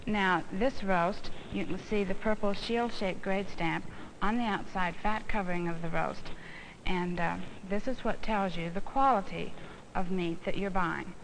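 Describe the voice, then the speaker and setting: A woman's voice: medium pitch, measured pace. American woman presenter on noisy old film. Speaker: instructional film presenter, home economist. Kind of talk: instructional demonstration to camera.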